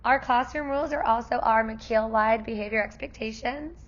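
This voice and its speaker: A woman's voice: a little high, moderate pace, lo-fi sound. A woman kindergarten teacher who is losing her voice, explaining class information to parents in a friendly, explanatory way. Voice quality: gravelly, worn voice. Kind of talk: parent night presentation.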